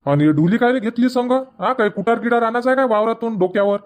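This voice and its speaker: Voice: mid-range voice, fast, natural voice. An actor voicing a grumbling, dismissive village farmer husband in a comedy cartoon, with a rural Vidarbha Marathi accent. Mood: grumbling, skeptical.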